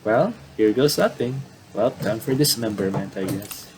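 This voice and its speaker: A man's voice: fairly low pitch, lively intonation, very noisy. A man giving casual, rambling commentary on a game playthrough, reading dialogue aloud and often stopping to correct himself. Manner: rambling, self-correcting, halting. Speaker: gaming video commentator.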